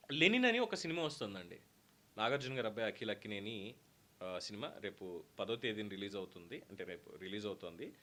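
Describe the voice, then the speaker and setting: A man's voice: medium pitch, bright, forceful tone. A man hosting in a casual, chatty commentary style, dropping English words into his talk. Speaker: show host.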